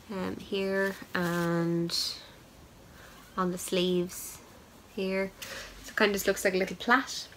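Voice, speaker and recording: A woman's voice: medium pitch, a little hiss or hum, poor audio. A young woman hosts a knitting podcast in a casual, chatty way, full of ums and upbeat asides, and she has a head cold. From Ireland. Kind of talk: casual show-and-tell chat.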